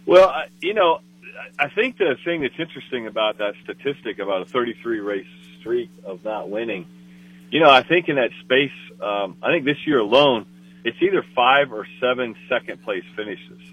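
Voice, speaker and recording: A man joins a racing chat over a tinny phone line. He talks haltingly, repeating words and leaning on fillers as he works out his thoughts. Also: medium pitch, expressive, breathy edge. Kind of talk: casual motorsport chat.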